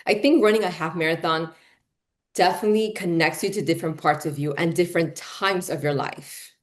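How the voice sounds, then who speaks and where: A woman's voice: fairly low pitch, a little fast. A woman in her forties, born in Peru and raised in Miami, hosting a casual interview and talking in a chatty way full of fillers. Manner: chatty, lots of fillers, frequent "right?" and "you know". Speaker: podcast host.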